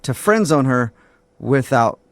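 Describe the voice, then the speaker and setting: A man's voice: medium pitch, lively intonation, slow pace, dry recording. A man hosting a morning radio show, chatting casually and giving advice in a conversational way. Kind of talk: radio prank-call banter and advice.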